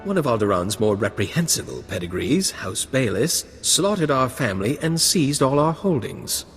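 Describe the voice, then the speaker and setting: Droll, lively young fop of a lord. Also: male voice, medium pitch, moderate pace, soft background music. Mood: aristocratic disdain. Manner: arch, drawling, wry. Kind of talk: video game voice acting.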